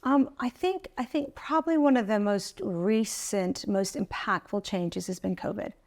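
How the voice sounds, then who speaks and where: A woman's voice: mid-range voice, moderate pace, a little mellow. A middle-aged woman from Italy, an interior designer, talking chattily and enthusiastically with many fillers as a guest in a client's house. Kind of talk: conversational interview.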